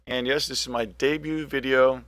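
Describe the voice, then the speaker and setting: A middle-aged man with a scratchy, gravelly voice, casually commenting on a game replay, hesitant with many ums and restarts. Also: medium pitch, steady tone, normal speed, fairly bright tone, no room sound. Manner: hesitant, lots of ums and restarts, thinking aloud. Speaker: gaming commentator.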